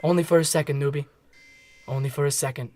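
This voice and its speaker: A man's voice: a little high, steady tone, fast talker, darkish tone, lightly acted. A man acting a jaded, wisecracking veteran henchman in a comedy radio play. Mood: wry, reassuring. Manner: world-weary, wisecracking, streetwise.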